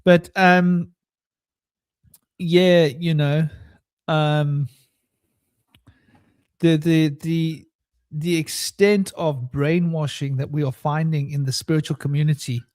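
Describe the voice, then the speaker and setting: A man's voice: on the high side, steady tone, slow, pauses often, a little mellow, no echo. A man in his thirties chatting earnestly on a video call, often repeating words while searching for his point. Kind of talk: casual online chat.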